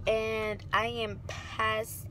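A woman's voice: fairly high pitch, level tone, extremely slow, sharp tone, faint music behind, poor audio. A woman, chatting casually and swearing freely as she vlogs into a phone. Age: 20s. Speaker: vlogger. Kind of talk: casual vlog chat.

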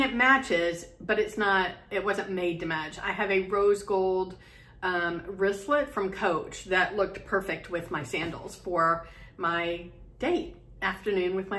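A woman's voice: medium pitch, a bit bright. Chatty woman rambling about her sewing. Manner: chatty, rambling, many ums and restarts. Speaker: sewing vlogger. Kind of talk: casual chat to camera. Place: home sewing room. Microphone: camera in front, medium close framing.

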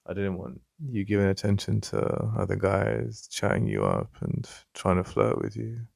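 A man with an English accent playing a jealous, possessive yet vulnerable boyfriend in an intimate ASMR roleplay, halting and conversational with a gravelly voice. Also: lowish voice, expressive, a little mellow, dry recording, studio quality, exaggerated voice. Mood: calming, vulnerable. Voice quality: gravelly, creaky. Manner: halting, repeating himself, conversational. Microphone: close, dry studio-style mic.

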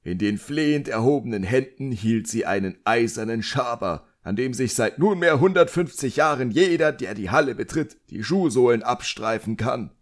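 A man's voice: mid-range voice, animated, moderate pace, few pauses, voice pushed up, natural voice. A man voicing a stern, pompous magician master in an audiobook, imperious and scolding. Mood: ominous.

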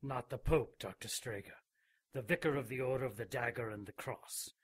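Actor as a husky, menacing old churchman. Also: mid-range voice, normal speed, no echo, lightly acted. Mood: cold, menacing. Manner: formal, cold, imperious. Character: menacing, scarred old vicar of a secretive holy order. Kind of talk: radio drama acting.

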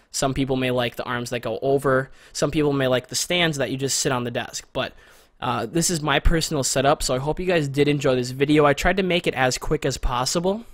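A young man talks casually into a close condenser mic, rambling a little and repeating words for emphasis. His voice sits at an ordinary pitch, masculine without being deep. The sound is dry and close, recorded in a small bedroom. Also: a bit bright, no echo. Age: young adult. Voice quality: not very deep but masculine voice. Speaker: gear reviewer. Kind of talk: casual product walkthrough. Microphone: studio condenser mic with pop filter, close.

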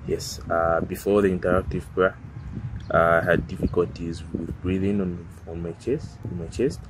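A young man from Zambia speaks hesitantly, with many fillers, in a very deep, flat voice. He is outdoors on a phone, with loud background noise. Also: moderate pace. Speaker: prayer testimony giver. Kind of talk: personal testimony.

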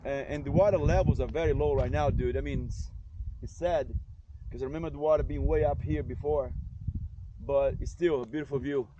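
A man's voice: medium pitch, fairly even intonation, brisk, lo-fi sound. A man narrating a travel vlog in a casual, rambling, informal way. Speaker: travel vlogger. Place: outdoors at roadside scenic stops. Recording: windy, noisy outdoor recording.

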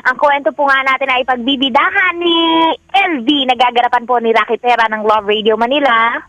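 A woman's voice: a little high, fairly even intonation, brisk, fairly bright tone, dry recording, poor audio. A woman co-hosting a radio show, reading listener texts and announcing the drama's cast in a chatty way. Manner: chatty, agreeable. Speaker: radio co-host. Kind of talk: casual radio banter.

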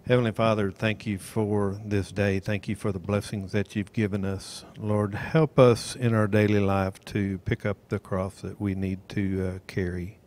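A man speaking slowly and reverently on a devotional panel and leading a closing prayer into a handheld mic. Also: on the low side, flat delivery, darkish tone, slight background noise. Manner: slow, measured, reverent. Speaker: church member.

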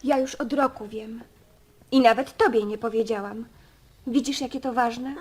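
A woman's voice: a little high, fairly even intonation, moderate pace, slightly rough. An actress playing an earnest, reassuring young girl in a radio drama. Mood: secretive. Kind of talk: Polish radio drama acting.

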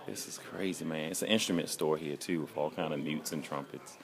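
Man, 40s, deep-voiced, excited self-filmed factory tour, phone mic, busy crowd noise. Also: a little fast, vocal fry. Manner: excited, enthusiastic, exclamatory. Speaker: vlogger touring an instrument factory. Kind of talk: casual walk-and-talk vlog. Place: large indoor factory hall and showroom. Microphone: phone held at arm's length. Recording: phone recording amid workshop crowd noise.

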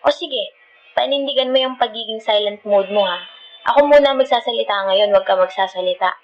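A woman's voice: fairly high pitch, fairly quick, lo-fi sound, natural voice. A woman with a Filipino accent playing a feisty, dramatic but loving girlfriend in an ASMR roleplay, sassy and mixing two languages as she talks. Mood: exasperated, scolding. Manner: sassy, scolding, switching between Tagalog and English.

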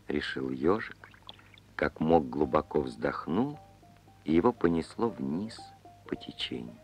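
A man playing the narrator of an animated fairy tale, telling it in a measured, unhurried way. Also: very deep voice, exaggerated voice. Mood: calm storytelling. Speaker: storyteller narrator. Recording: old film soundtrack with music.

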